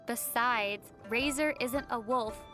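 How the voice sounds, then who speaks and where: A young traveler girl speaks video game dialogue in a high, bright voice. She comes across as wry, teasing and sensible, with soft music underneath. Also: slow.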